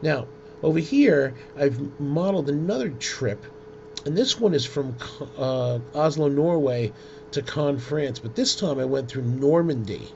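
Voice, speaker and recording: A man presenting an informal software tutorial in a halting, hesitant way, with frequent ums. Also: a little high, lively intonation, vocal fry. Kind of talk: informal screen-share software demonstration. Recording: dull lo-fi audio with loud noise.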